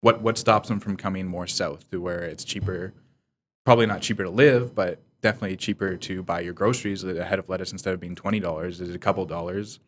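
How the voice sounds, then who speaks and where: A man's voice: low voice, fairly even intonation, quick pace, fairly bright tone, a little hiss or hum. A man from Manitoba co-hosting an interview, asking curious, sometimes playful questions in a casual way. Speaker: podcast co-host.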